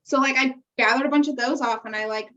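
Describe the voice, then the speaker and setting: A woman's voice: sits high, steady tone, fast talker, pushed and bright. A young American woman co-hosting a podcast, chatting casually in an informal, encouraging way, on a muffled, distant recording. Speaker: podcast co-host. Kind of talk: casual chat. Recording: muffled, distant-sounding microphone.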